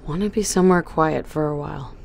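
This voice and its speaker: Just-woken space traveler, lowish voice, hesitant, curious, halting, audio drama. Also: female voice, gravelly, a little mellow, a little hiss or hum. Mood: uneasy, tentative. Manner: tentative, halting, inquisitive. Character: hesitant, curious woman newly woken from cryosleep.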